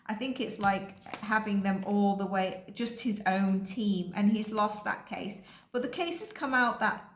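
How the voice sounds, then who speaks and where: A woman giving a casual psychic reading, rambling and filling her pauses with "um" and "like". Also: mid-range voice. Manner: rambling, with frequent ums and likes. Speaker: psychic energy reader. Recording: echoey room.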